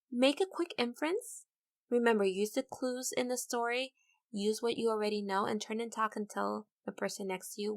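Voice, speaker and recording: A woman's voice: on the high side, vocal fry. A woman teacher leading an interactive read-aloud for children, explaining in an encouraging way and addressing listeners directly.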